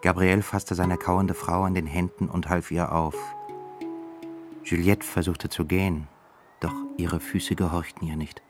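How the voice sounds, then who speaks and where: A man narrating a radio play in a measured, solemn storytelling manner. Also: deep voice, little pitch movement, music in the background.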